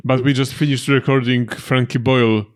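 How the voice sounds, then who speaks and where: A young man with a Polish accent, a native Polish speaker co-hosting a reaction video, talking in a halting way and often repeating words as he jokes dryly. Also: medium pitch, fairly even intonation. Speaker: reaction channel co-host. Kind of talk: casual chat, joke telling.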